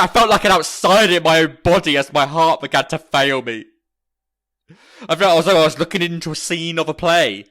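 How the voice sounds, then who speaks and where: An actor playing an anxious, haunted man in an audio drama, speaking in a deliberate, brooding way. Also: mid-range voice, fairly bright tone. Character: anxious haunted man recalling his own heart attack.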